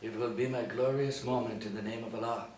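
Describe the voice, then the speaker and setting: Man acting zealous male militant, boastful, declaiming, mid pitch, roomy distant recording. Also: fairly even intonation, a little fast, a little mellow, lightly acted. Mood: fervent. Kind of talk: screenplay table read. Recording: echoey room, distant mic, faint noise.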